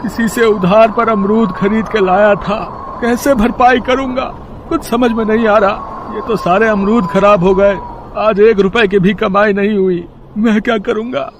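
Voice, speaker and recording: A man's voice: high-pitched, fairly even intonation, a little slow, noisy background. A man voicing a poor, meek fruit cart vendor in a Hindi cartoon, pleading plaintively. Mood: pleading, distressed. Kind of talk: Hindi cartoon story dialogue.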